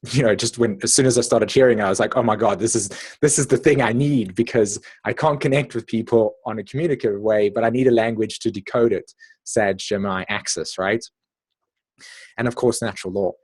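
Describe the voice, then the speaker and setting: A man with a South African accent giving an informal, reflective talk in an online meeting, haltingly repeating words and checking in with his listeners. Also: lowish voice, husky. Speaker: astrologer presenting at an online meeting. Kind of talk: informal spoken lecture with personal reflections.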